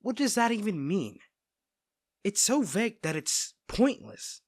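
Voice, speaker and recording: Male actor for devoted best friend in audio roleplay; caring, teasing, conversational, lively intonation, dry sound. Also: sits high, measured pace, no echo, exaggerated voice. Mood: indignant. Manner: fussing, tender, conversational. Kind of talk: soft-spoken scripted roleplay audio drama.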